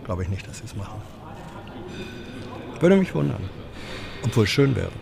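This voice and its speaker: A man's voice: lowish voice, very animated, moderate pace, creaky, a crowd in the background. A man co-hosting a casual podcast chat, making dry, ironic quips. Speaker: podcast co-host.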